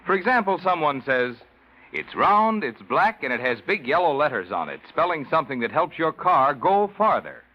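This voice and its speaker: A man's voice: on the high side, big pitch swings, normal speed. A man announcing a sponsor message in polished, folksy 1940s radio advertising style, with emphatic delivery. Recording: dull vintage radio recording.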